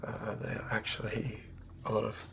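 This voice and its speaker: A man doing improvised ASMR in a breathy whisper, unhurriedly murmuring to himself as he works. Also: lowish voice, monotone, moderate pace, very noisy. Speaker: ASMR host.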